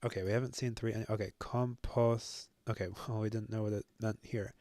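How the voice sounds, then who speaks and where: A young man thinking aloud as he works through a language on his own, musing and joking at his own expense, halting often with fillers. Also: fairly low pitch, steady tone, dark tone, no room sound. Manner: musing, self-deprecating, halting with many fillers. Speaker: language-learning video host. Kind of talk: thinking aloud while self-studying.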